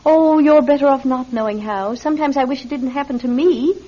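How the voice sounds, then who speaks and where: A woman playing a gentle, weary mother with a tender, reluctant manner in a radio drama. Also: high-pitched, fairly quick, natural voice. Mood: patient, wistful. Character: gentle, weary clairvoyant mother of two young children. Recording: muffled, dull old radio drama sound.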